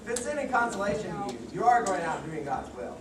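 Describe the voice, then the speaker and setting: A man's voice: high voice, sing-song, fast talker, over a noisy crowd. A man playing a wisecracking, childlike airport guard in an amateur church puppet show. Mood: playful. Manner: playful, sarcastic. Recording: distant echoey recording with loud noise.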